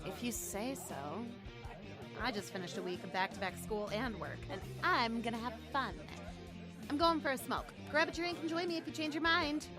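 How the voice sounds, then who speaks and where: An actress playing a brash, sociable young student who waits tables, in a casual, pushy, mocking way for a radio drama. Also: female voice, on the high side, moderate pace, natural voice. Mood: teasing, incredulous. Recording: music behind the voice.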